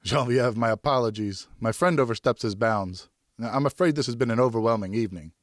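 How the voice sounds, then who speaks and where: A man voicing a courteous, self-conscious French gentleman in an audiobook, formal, earnest and slightly flustered. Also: mid-range voice, a little fast, no room sound, clean studio sound, close to an everyday voice. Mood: polite, earnest. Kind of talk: audiobook character dialogue.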